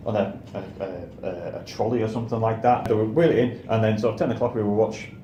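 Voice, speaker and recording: Low, mellow British man in a roomy space. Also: fast, highs cut off. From United Kingdom. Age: middle-aged. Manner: rambling, repeats and restarts phrases often. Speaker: co-host of a film review show. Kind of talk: casual discussion and reminiscence. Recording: roomy echo, faint background noise.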